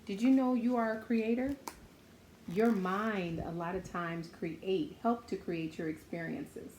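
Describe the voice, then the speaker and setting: Female story-time host, mid-pitched, encouraging and direct with kids, some background noise. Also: steady tone, a little slow, darkish tone, poor audio. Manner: encouraging, speaking simply and directly to children. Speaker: children's story-time host reading a picture book aloud. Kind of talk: read-aloud with encouraging asides to children.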